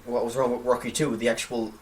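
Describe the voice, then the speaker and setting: A man's voice: mid-range voice, little pitch movement, fast. A man co-hosting a casual film chat podcast, hesitant and often repeating words as he forms his point. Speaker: podcast co-host. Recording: voice slightly distant from microphone.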